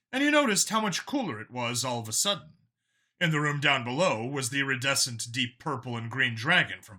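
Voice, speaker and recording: A man's voice: mid-range voice, pushed and bright. A man narrating a scripted action story as an audiobook narrator, in a dramatic reading style.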